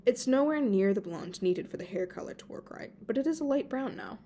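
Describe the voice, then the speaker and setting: A woman's voice: on the high side, expressive, a little fast, dark tone, slight background noise. A woman reading a story aloud as an amateur narrator, now and then tripping over a word and correcting herself. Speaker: amateur podfic reader. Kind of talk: reading fan fiction aloud.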